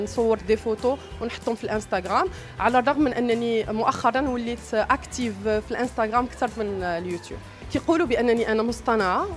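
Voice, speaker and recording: A woman in her thirties with a Moroccan Arabic accent, answering interview questions frankly and hesitating often, mixing in French words, on a handheld mic in a cafe. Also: on the high side. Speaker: interviewee, YouTuber. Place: red-upholstered cafe booth, indoors. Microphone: handheld mic close to the mouth.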